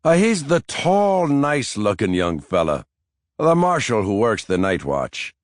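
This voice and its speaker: A man doing a mischievous old grandfather, pitched well above a low, dry reading voice. He talks in a chatty, teasing way, in an audiobook. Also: sing-song, a little slow, no echo. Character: elderly Irish grandfather, wry and teasing.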